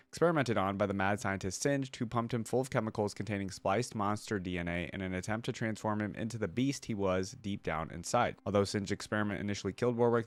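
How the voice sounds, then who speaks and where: A man with a fairly low voice gives casual reaction commentary as a streamer. He talks conversationally, as if thinking aloud, and the sound is dry with very little room. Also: no room sound. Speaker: reaction video host and streamer.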